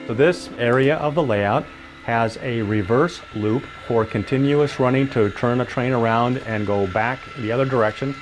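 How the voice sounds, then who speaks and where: A man's voice: mid-range voice, moderate pace, music in the background. A man hosting a casual, explanatory tour of a hobby layout. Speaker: host giving a tour of a model railroad layout.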